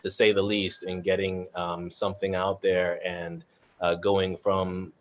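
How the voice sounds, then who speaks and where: A man with a fairly low voice hosts an informal online chat. He rambles and hesitates as he feels his way through his thoughts. The sound is a bit dull and lo-fi, as on a video call. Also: little pitch movement, unhurried, slight background noise. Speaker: host of a theatre chat. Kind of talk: casual moderated online chat.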